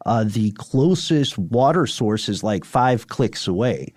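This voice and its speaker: A man co-hosting a podcast, explaining things conversationally in measured, wordy sentences with playful asides. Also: fairly low pitch, expressive, a little mellow, no room sound, studio quality. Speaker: podcast co-host.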